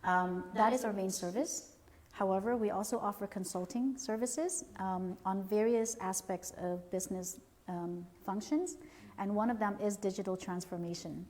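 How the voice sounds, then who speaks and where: Measured woman, echoing hall. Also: medium pitch, a little mellow. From born in Cambodia, raised in the United States. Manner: measured and polite, many "um" pauses. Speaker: guest, company founder and CEO. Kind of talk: interview answers. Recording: long hall reverb.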